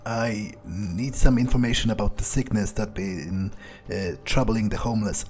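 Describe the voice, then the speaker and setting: A man playing a smug, cynical vampire in a game roleplay, thinking aloud in a halting, sardonic way. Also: on the low side, darkish tone, lightly acted. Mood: commanding. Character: smug cynical male vampire, talking to himself. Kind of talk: in-character gameplay roleplay, improvised muttering. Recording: game music behind. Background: video game music.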